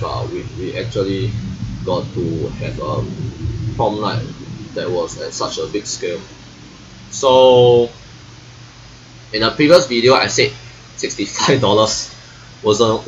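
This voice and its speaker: Deep-voiced Singaporean teenage guy, halting. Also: fairly bright tone. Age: late teens. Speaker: vlogger reviewing school life. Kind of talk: casual rambling vlog monologue. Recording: dull, lo-fi sound with faint noise.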